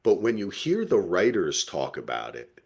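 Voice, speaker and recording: Opinionated man with swooping, animated pitch. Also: normal speed, husky. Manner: opinionated, emphatic, casually profane. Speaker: podcast co-host. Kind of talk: casual TV review chat.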